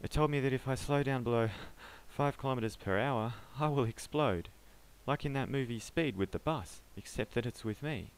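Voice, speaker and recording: A man puts on a frightened, winded man in an audiobook, his voice pushed high and loud as he begs to be left alone. The pleading tumbles out in a rush, frantic and out of breath. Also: normal speed, hardly any pauses, clear, resonant tone, close to an everyday voice. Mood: panicked pleading. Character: panicked breathless young man fleeing.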